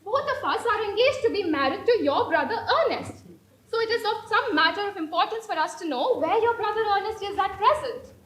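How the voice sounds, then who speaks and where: A woman's voice: extremely high pitch, animated, brisk, a bit bright, slight background noise. A young woman playing a haughty, prim Victorian society lady in a stage play, speaking formally and declaiming with indignation. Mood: formal, composed. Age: young adult. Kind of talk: amateur stage play performance. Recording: echoey stage hall recording.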